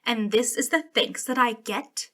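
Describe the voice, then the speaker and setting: A woman's voice: fairly high pitch, a bit bright. A woman playing an arrogant, jealous young princess in a dramatic ASMR roleplay, imperious but wounded and emotional. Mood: angry, accusing. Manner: imperious, emotional, halting when hurt. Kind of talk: ASMR roleplay, dramatic one-sided dialogue.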